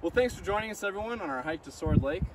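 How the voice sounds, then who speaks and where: Brief: young man, trail vlog host, a bit high, joking, off-mic, noisy outdoor audio. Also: distant mic. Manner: relaxed, joking, with filler pauses. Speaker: hiking video host. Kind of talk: casual outdoor vlog narration.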